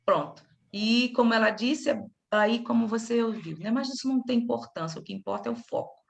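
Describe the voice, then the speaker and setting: Chatty Carioca woman with a gravelly voice. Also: fairly high pitch, a little fast. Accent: Carioca Brazilian Portuguese. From Rio de Janeiro, Brazil. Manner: animated, chatty, self-interrupting. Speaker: study group facilitator. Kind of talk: casual group discussion.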